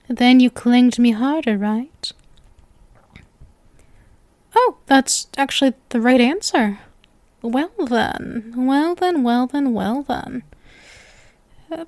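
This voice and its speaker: Woman acting a high-voiced, sweetly possessive young witch. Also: darkish tone, dry recording. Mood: smug, clingy, then sheepish, boastful. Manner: coy, teasing, sugary with menacing devotion. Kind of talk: ASMR roleplay acting addressed to the listener.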